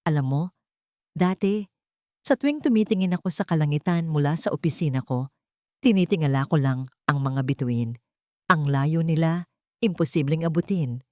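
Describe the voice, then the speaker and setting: Tender billionaire in love played by a woman, Filipino accent, slightly low voice, soft romantic audiobook delivery, admiring and emotional. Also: lively intonation, moderate pace, pauses often, no echo, studio quality. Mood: tender, wistful. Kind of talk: audiobook character dialogue.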